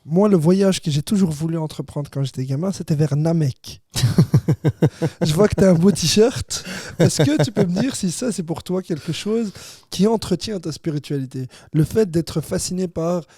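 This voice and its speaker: Man, podcast host, playful, joking, mellow tone, dry room. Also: on the high side, lively intonation, breathy edge, no room sound. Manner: joking, playful, quick asides. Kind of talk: casual podcast chat. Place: hot podcast studio.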